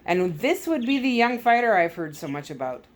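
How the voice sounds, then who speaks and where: A woman voicing a gloating, talkative male Soviet KGB skipper in a storybook read-aloud, smug, deliberate and theatrical. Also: medium pitch, sing-song, lightly acted.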